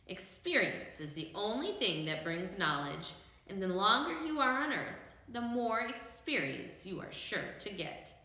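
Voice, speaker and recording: A woman's voice: medium pitch, sing-song, a little slow, pushed and bright, heavy reverb, heavily acted, rough recording. A woman voicing a very old storybook wizard, meek and apologetic, who reasons and confesses mildly. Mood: mild, reassuring. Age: older.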